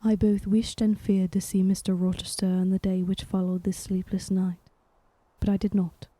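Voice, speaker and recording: An actress playing a young governess in an audio drama, reserved and earnest, speaking formally. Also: female voice, mid-range voice, flat delivery, normal speed, dark tone, no echo, close to an everyday voice, low-quality recording. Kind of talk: audio drama acting and first-person narration.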